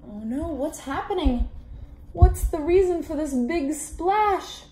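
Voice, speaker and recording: Woman in her twenties, high-pitched, unhurried children's storyteller, expressive, roomy recording. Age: 20s. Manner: deliberate, animated, asks children questions. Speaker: read-aloud storyteller for children. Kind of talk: expressive picture-book reading. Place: small indoor room at a table. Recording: echoey room, background noise with clicks.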